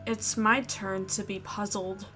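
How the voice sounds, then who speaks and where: A woman's voice: mid-range voice, little pitch movement, a little slow. A woman gaming host expressively reading a visual novel's first-person narration aloud and reacting casually. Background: music.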